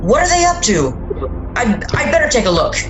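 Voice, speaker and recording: A woman's voice: fairly high pitch, big pitch swings, fairly quick, music in the background, low-quality recording. A woman playing an alarmed, exasperated husband and father in an amateur audio horror drama, insistently demanding answers. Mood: suspicious, uneasy. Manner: insistent, questioning.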